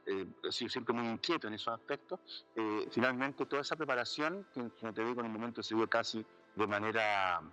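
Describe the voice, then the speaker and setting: A man's voice: on the low side, sharp tone, poor audio. A man from Chile with a Chilean accent, a jurist and philosopher answering interview questions thoughtfully, with many fillers. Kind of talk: casual interview talk. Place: outdoors in a city neighborhood.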